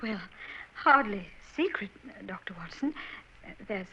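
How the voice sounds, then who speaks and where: A woman's voice: medium pitch, animated, measured pace, bright, forceful tone, breathy edge, full character voice. An actress playing an earnest young Victorian woman in an old radio drama, talking in a hesitant, genteel way. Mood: worried. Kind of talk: 1940s radio acting. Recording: dull old radio broadcast.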